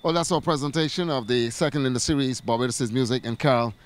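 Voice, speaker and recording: A man's voice: mid-range voice, fairly even intonation, fast talker. A middle-aged man with a Bajan accent, hosting a television interview show. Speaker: TV show host. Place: outdoors at night. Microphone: handheld microphone.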